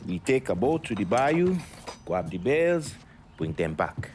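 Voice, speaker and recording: An actor playing a proud, mocking Haitian smuggler in a video game, with a Haitian accent and a deliberate, needling, resentful manner. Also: male voice, mid-range voice, very animated, a little mellow, a little hiss or hum. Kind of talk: video game cutscene acting.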